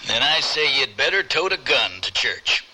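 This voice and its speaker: An actor playing a hearty, protective cattle rancher with plainspoken, folksy, emphatic talk in an old radio drama. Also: male voice, mid-range voice, big pitch swings, moderate pace, pushed and bright, a little hiss or hum, low-quality recording. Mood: cautioning.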